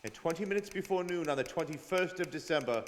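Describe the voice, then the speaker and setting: A man plays a dogged detective reporting in a radio drama. His slightly high voice is emphatic and clipped. There is light hall reverb and faint noise. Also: animated, fast, lightly acted. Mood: grave, official. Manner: emphatic, telegraphic. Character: stern, dogged detective narrating his log.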